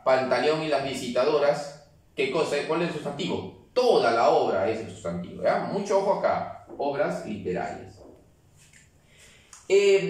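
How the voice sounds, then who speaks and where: A man teaching a grammar class, explaining step by step and checking in with the students. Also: on the high side. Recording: very echoey room, mic far off.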